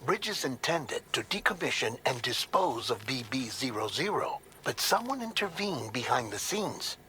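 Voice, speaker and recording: An earnest male investigator lays out his findings in a video game cutscene. His voice is forceful and bright, with lively swings in pitch and a deliberate, dramatic delivery. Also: low-quality recording. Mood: grave, explaining. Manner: deliberate, explanatory, dramatic reveals. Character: earnest male investigator briefing a colleague, revealing a conspiracy. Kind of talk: scripted game cutscene exposition.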